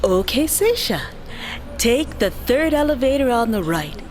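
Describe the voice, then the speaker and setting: An actress playing an officious front-desk receptionist in a scripted audio drama. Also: female voice, a little high, sing-song, measured pace. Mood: polite, helpful. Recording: clear background noise with clicks.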